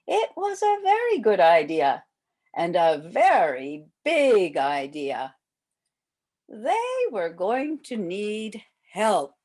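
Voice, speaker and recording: Older female storyteller for kids, hugely varied intonation, unhurried picture-book reading with drawn-out emphasis. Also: mid-range voice. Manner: slow, expressive, drawn-out emphasis for young children. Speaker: volunteer storyteller for children.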